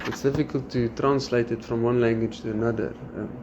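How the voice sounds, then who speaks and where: A man's voice: medium pitch, fairly quick. A South African man whose first language is Afrikaans, chatting casually as a podcast host and acting out his students' lines. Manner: animated, quotes his students. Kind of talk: casual chat. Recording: slightly dull sound with faint background noise.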